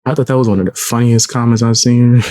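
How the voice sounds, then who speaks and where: A man with a low voice, commenting casually and with slang on a live stream, laid-back with chuckling asides. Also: steady tone, fast, warm tone, dry recording.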